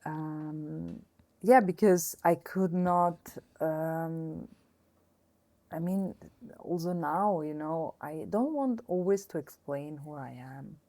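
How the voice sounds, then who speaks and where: A woman's voice: deep voice, slow, warm tone. A middle-aged woman from Austria speaking as an interview guest, hesitant, with many fillers and restarts. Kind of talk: casual interview conversation.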